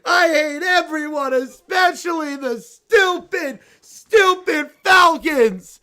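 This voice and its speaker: Woman doing a teddy bear boy, little, furious, wailing, shouting, high, sobbing. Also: animated, takes it slowly, gravelly, heavily acted.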